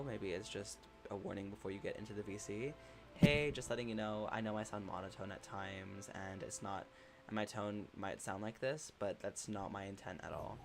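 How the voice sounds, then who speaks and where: Man, livestream host, relaxed chatty delivery, supportive, frequent fillers, faint background music. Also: medium pitch. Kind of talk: casual livestream chat reading chat messages.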